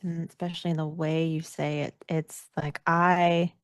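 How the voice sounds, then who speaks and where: A woman's voice: low voice, little pitch movement, normal speed, no echo, clean studio sound. An American woman as an interview guest, chatting casually in a playful, self-deprecating way. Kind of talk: casual chat.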